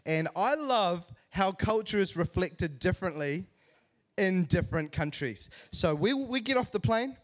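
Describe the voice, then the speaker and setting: High-voiced New Zealand man preaching. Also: unhurried. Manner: animated, joking, self-deprecating. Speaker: church speaker. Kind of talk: humorous sermon storytelling to a congregation.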